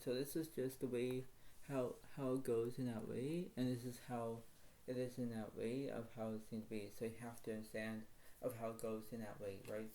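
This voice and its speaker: A man giving a casual tarot reading to his viewers. He speaks quietly and rambles, circling back to the same stock phrases. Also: a little hiss or hum, low-quality recording. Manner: talks quietly, apologizes for his low voice. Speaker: tarot card reader.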